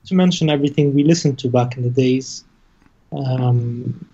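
A man from Lebanon speaking casually as an interview guest, halting and searching for his words, on a dull lo-fi connection. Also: mid-range voice, warm tone. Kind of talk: casual conversation.

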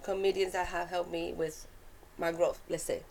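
A woman from New York with a Puerto Rican Bronx accent, a comedian guest chatting fast and animatedly on a podcast. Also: fairly low pitch, gravelly, a little hiss or hum. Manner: fast-talking, animated, laughs often. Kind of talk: casual podcast chat.

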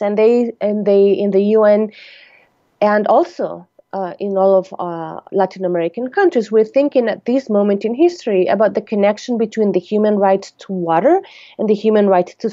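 A woman from Costa Rica speaks in a mellow, dark-toned voice, weighing her words and restarting often. The sound is dry and a little dull, as if from a muffled studio line. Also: medium pitch, dry recording. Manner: thoughtful, measured, many fillers and restarts. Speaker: anthropologist guest. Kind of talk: radio interview answer.

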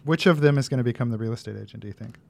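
A man's voice: mid-range voice, very fast, creaky, slight background noise. A man co-hosting a casual interview, with a playful, wry way of asking questions. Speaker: interviewer, co-host.

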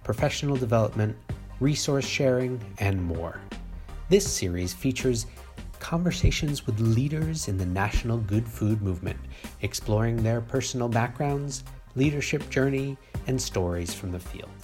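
A man reading a scripted podcast introduction in a slow, even way. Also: mid-range voice, faint music behind. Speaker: podcast announcer. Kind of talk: scripted announcement.

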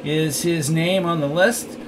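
A man's voice: a little high, fairly even intonation, unhurried, close to an everyday voice. A man voicing a stocky, hostile guard in an audiobook, challenging and unyielding, with hum behind him.

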